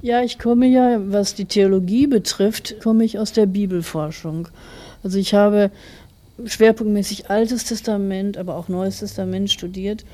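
A woman's voice: medium pitch, steady tone, measured pace, dark tone. A middle-aged woman giving a reflective interview as a writer, thoughtfully explaining her views in long, winding sentences.

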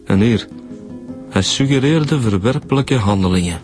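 An actor with a Flemish accent playing a wry, informal police detective in a radio drama, colloquial and questioning. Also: male voice, lowish voice, animated, normal speed, a little mellow, soft background music, close to an everyday voice.

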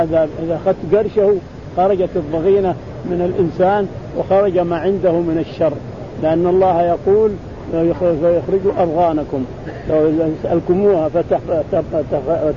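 A man teaching a religious text, explaining it in spoken commentary and repeating phrases as he works through each point. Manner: repeats phrases, restarts words, acts out quoted exchanges. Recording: muffled recording with loud rumbling noise.

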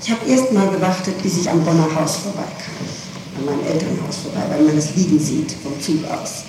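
A woman answering questions in an interrogation, haltingly and insistently, often repeating and correcting herself over small details. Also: low-pitched. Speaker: person under police questioning. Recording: echoey, distant, noisy old tape.

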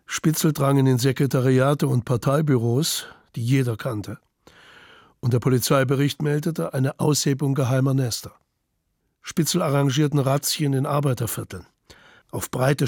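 A man's voice: medium pitch, creaky, darkish tone, breathy edge, no echo, studio quality. A man reading scripted, documentary-like narration for a radio play.

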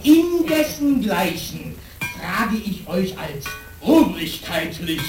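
A man's voice: high voice, sing-song, slow pace, a little mellow, full character voice. An actor playing a pompous, officious village headman who talks in stilted officialese, in theatrical radio play style. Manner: stilted, self-important officialese. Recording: echoey, distant, music behind.

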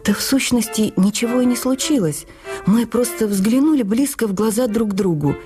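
A woman narrating a literary memoir for radio drama in an intimate, wistful and expressive manner. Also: a little high, normal speed, faint music behind.

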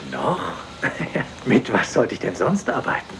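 A polite male factory boss from a dubbed film. He sounds baffled and highly animated, on a faintly noisy track. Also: takes it slowly, exaggerated voice. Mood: amused, polite. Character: polite, baffled businessman, plastics manufacturer.

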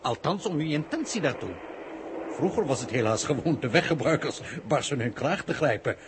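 A man's voice: medium pitch, expressive, normal speed, lightly acted. A man playing a pedantic, unctuously polite road police inspector who lectures formally and at length, in 1960s radio drama style. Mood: unctuous, lecturing. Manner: long-winded, formal lecturing. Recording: noisy old radio drama tape.

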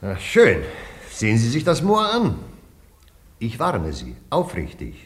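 An actor playing a dry, dismissive senior official in a German radio drama, curt and discouraging. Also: male voice, mid-range voice, huge pitch swings, unhurried. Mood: dismissive, warning. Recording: faintly noisy radio play.

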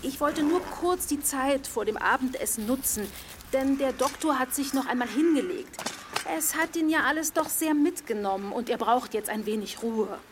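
A woman's voice: sits high, animated, normal speed, a little hiss or hum. A woman playing a chatty, eager servant who gathers herbs, deferential and given to muttering, in a radio drama. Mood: absorbed, apologetic.

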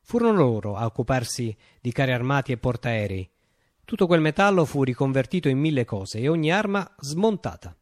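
An actor performs a visionary male storyteller, telling of a utopian revolution as a radio monologue. His mid-pitched voice swings expressively up and down, with deliberate, declamatory phrasing. The sound is dry and without room echo. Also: big pitch swings, a little mellow, natural voice. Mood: measured, wry.

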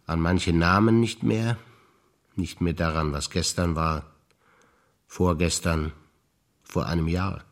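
An older man, a stage actor reciting memories in a rhythmic, deliberate listing manner with pauses. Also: low voice, steady tone.

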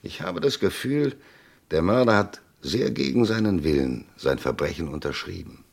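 An actor playing a shrewd, commanding police commissioner in a formal, probing, theatrical crime radio play style. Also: male voice, sits low, animated, normal speed, vocal fry. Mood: confident, deliberate. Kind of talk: mid-century German crime radio play acting.

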